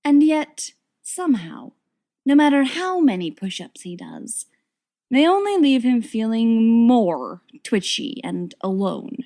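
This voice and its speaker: A woman's voice: on the high side, big pitch swings, takes it slowly, mellow. A woman narrating a written story expressively, with wry, dramatic emphasis. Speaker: audiobook reader of fan fiction.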